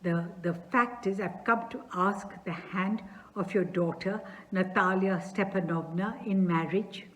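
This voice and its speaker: A woman's voice: lowish voice, measured pace, natural voice. A woman reading aloud as a nervous, flustered male landowner who stammers while asking for a daughter's hand.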